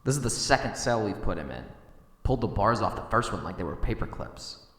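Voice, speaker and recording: A man's voice: lowish voice, lively intonation, fairly quick, fairly bright tone. A man playing a plain-spoken, wry police officer in an audio drama, recorded in an echoey room. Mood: matter-of-fact, impressed. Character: matter-of-fact police officer guarding a prisoner. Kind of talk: cinematic audio roleplay acting.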